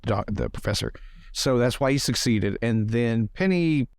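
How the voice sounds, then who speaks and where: A man's voice: mid-range voice, measured pace, dry recording. Guy playing a tabletop rpg, voice bounces around a lot, jokey casual chatter, dry mic. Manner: animated, thinking aloud, joking. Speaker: tabletop game player.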